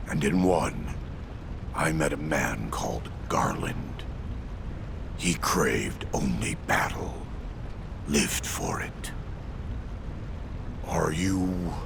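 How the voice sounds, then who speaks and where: Give this one a grandiose, melodramatic warrior from a video game. He is gravelly and slow, with boastful flourishes that swing from hushed to booming. Loud ambient noise sits behind the voice. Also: full character voice. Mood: lofty, weary. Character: bombastic theatrical male warrior wandering between dimensions, boastful and melodramatic. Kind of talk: theatrical video game cutscene acting.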